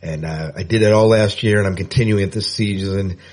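A low-voiced man co-hosts a podcast in relaxed, casual chat. His voice has a husky edge. He is easygoing and self-deprecating and often lets his words trail off quieter. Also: brisk. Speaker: podcast co-host.

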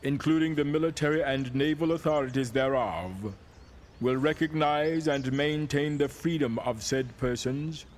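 A man's voice: fairly high pitch, normal speed, slight background noise, lightly acted. A man playing a solemn, resolute American president delivering a proclamation in a stately, oratorical manner. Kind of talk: dramatic reading of a proclamation.

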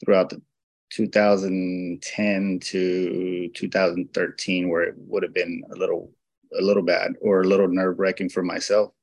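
A low-voiced man answers interview questions on a hunting show. He hesitates often, restarting his sentences and stumbling as he works his way through each answer. The recording is dry and close. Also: little pitch movement, moderate pace, no echo. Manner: hesitant, many restarts and fillers.

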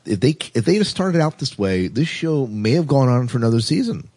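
A man's voice: medium pitch, sing-song, a little fast, noisy background. A man co-hosting a podcast in casual chat, talking enthusiastically and repeating words for emphasis. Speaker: podcast co-host. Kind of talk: casual chat about sci-fi TV.

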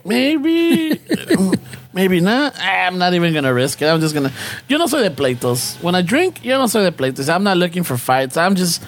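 High-voiced joking man. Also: sing-song, normal speed, a little hiss or hum. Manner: joking, slangy, slips between English and Spanish. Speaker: podcast co-host. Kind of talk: casual chat.

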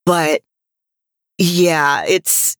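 Female podcast co-host, thirties, lowish voice, unhurried and reflective, halting phrasing, casual discussion. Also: fairly even intonation, a bit bright, smooth, no echo, clean studio sound.

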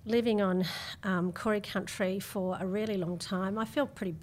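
A woman with an Australian accent talking as an interview guest, reflective and measured as she tells her story. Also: mid-range voice, fairly even intonation, slight background noise. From Melbourne, Australia. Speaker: podcast guest.

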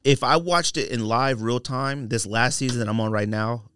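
A man's voice: medium pitch, normal speed, fairly bright tone, a little hiss or hum. An American man co-hosting a podcast, chatting casually and arguing his point in an emphatic, teasing way. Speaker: podcast co-host. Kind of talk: casual chat, friendly debate.

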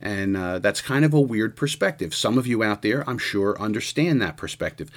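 A man in his sixties hosting a radio show, musing aloud in an earnest, halting way with many restarts. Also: medium pitch. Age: 60s. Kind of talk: reflective casual radio monologue.

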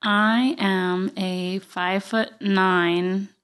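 A woman's voice: mid-range voice, steady tone, very slow, a bit bright, smooth. A woman from Puerto Rico, a podcast guest telling casual, joking stories and acting out remembered dialogue. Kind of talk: casual conversational storytelling.

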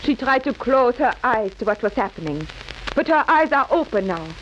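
An actress playing a principled, defiant schoolteacher in a 1940s radio drama, earnest and declamatory. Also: female voice, fairly high pitch, very animated, normal speed, a bit bright. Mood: resolute, impassioned. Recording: muffled, hissy 1940s radio recording.

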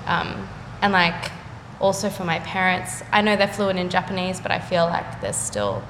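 A young woman, an artist chatting casually in an interview, full of fillers and quick laughs. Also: lowish voice, big pitch swings, a bit bright. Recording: echoey room with faint noise.

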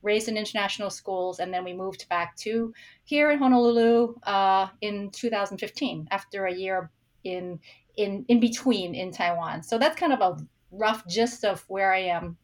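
A woman's voice: medium pitch, normal speed. A middle-aged woman, born in San Francisco and raised in Hong Kong, talking reflectively as a podcast guest and often restarting her sentences. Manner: reflective, with frequent restarts and fillers. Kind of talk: casual interview talk.

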